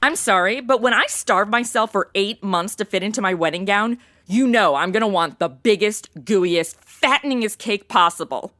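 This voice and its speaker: A woman's voice: mid-range voice, lively intonation, normal speed, a bit bright, no echo, natural voice. A woman playing a gushing, blunt, slangy modern young woman in a comedy audio drama. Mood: gushing, excited.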